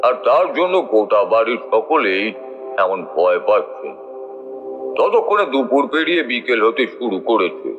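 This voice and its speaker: A man narrating a first-person suspense story in a deliberate, dramatic way, with music behind him. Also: mid-range voice, big pitch swings. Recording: thin phone-like sound, music behind.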